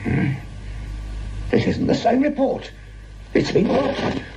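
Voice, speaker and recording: An actor playing a principled, stubborn detective sergeant in a radio drama, polite yet plain-spoken and defiant. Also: male voice, very high voice, very animated, slow pace, background noise, heavily acted. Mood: bitter, incredulous. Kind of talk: broadcast radio drama acting.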